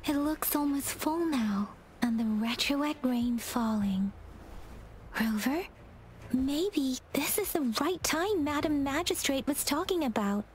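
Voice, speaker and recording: High, slow, pensive young companion. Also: female voice, lively intonation. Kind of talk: dramatic video game acting. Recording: faint ambient game noise behind.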